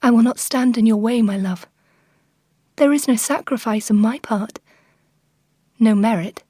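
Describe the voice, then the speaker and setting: An actress playing an unhappy, jealous Victorian wife in a radio drama, giving an intimate, sorrowful and restrained confession. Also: female voice, a little high, unhurried, warm tone, no room sound, studio quality. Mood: sorrowful, resigned. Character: unhappy, jealous Victorian wife voicing her farewell letter.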